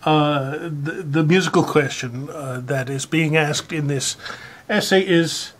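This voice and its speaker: A presenter with a halting, hesitant, deadpan delivery, speaking in a mock-serious way while sharing a piece of writing aloud. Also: medium pitch. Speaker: presenter reading a found article aloud. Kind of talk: rambling deadpan introduction and mock-serious dramatic reading.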